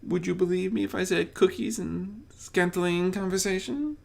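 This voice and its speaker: A man's voice: sits high, creaky, voice pushed up, heavily acted. A man voicing a playful male chaos spirit, a theatrical trickster who teases and draws out his phrases. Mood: teasing. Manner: theatrical, teasing, drawn-out phrasing.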